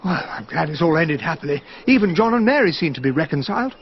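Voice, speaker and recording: An actor playing an earnest, gullible English army officer in a radio drama, with an English accent and a naive, indignant manner. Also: male voice, a little high, sing-song, fast. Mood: surprised, curious. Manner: indignant, naive, easily surprised. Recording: slightly muffled radio drama recording.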